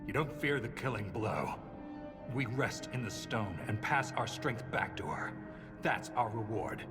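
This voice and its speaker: A low-pitched battle-worn dwarf soldier from a fantasy game, grim and wry. He speaks bluntly and dryly, like a man used to death, over loud background music. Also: animated, measured pace. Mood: grim, solemn. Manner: dry, blunt storyteller. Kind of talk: video game cutscene dialogue.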